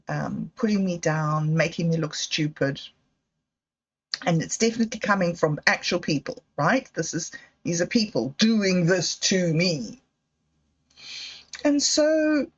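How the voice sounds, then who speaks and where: A woman hosting a livestream, talking slowly and candidly in a meandering personal monologue. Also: lowish voice. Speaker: livestream host. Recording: dull lo-fi livestream audio.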